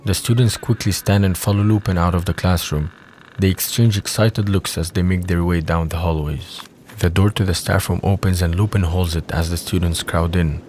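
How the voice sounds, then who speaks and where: A man's voice: low-pitched, steady tone, normal speed, darkish tone, slight background noise. A man reading scripted third-person narration for an audio drama.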